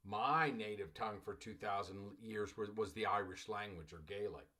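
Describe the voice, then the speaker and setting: A man's voice: on the low side, moderate pace, bright, forceful tone. A middle-aged man from Los Angeles, a college English teacher, talking to his class in a loose, rambling way and often calling them "class". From Los Angeles, United States. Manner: addresses listeners as "class", loose and digressive, repeats phrases. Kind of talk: recorded class lecture.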